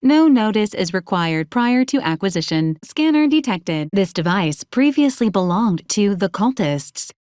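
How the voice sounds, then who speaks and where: A female robot assistant from a video game, politely officious and literal. She delivers formal advisories in a clipped, deadpan manner at a middling pitch. The sound is dry, with no room around it. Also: unhurried, hardly any pauses, no echo. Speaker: robot companion giving mission advisories. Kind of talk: scripted video game character lines.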